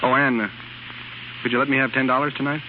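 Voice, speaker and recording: An actor in a 1930s radio drama playing a moody, self-pitying young husband and struggling writer, defensive and sulky, on a noisy, muffled recording. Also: male voice, mid-range voice, level tone, fast, close to an everyday voice. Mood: coaxing.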